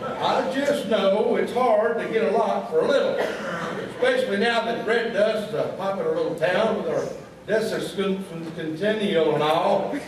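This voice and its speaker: A man's voice: high-pitched, moderate pace, background noise, close to an everyday voice. A man playing a daft, folksy small-town sidekick in a comic radio double act, rambling on obtusely. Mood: amiably muddled. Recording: distant, very echoey stage, audience behind.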